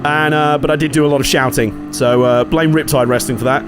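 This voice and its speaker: A man with a slightly hoarse voice from a cold, casually commentating his own racing game with jokes and swearing. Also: a little high, fairly even intonation, brisk, music in the background. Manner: chatty, jokey, sweary, reacting aloud to the race. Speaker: gaming channel host.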